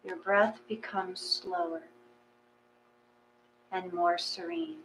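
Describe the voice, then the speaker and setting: A woman reading a guided meditation in a gentle, measured, calming way. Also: mid-range voice, low-quality recording. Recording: clear mains hum.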